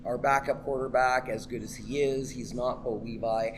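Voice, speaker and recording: An enthusiastic man from Canada co-hosts a sports chat, his throat scratchy and his talk full of cheerful plugs. Clear background chatter and room noise fill the pub behind him. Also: mid-range voice, steady tone, moderate pace. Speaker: co-host and karaoke host.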